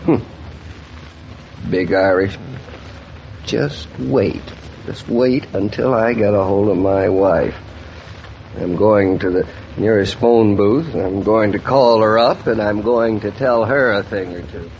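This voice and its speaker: An actor playing an excitable, competitive husband in 1940s radio drama, blustering and insistent. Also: male voice, on the low side, takes it slowly, long pauses. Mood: worked up. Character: excitable, competitive young husband and proud father. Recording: dull, noisy old radio transcription.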